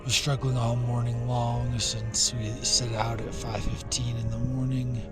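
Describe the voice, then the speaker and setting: A young climber speaks slowly and evenly to a camera on an open mountainside, thoughtful and measured. Loud music runs beneath his voice. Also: medium pitch, little pitch movement. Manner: measured, reflective, with fillers. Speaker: climbing team member. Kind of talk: reflective talk to camera. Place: outdoors on a high mountain. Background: background music.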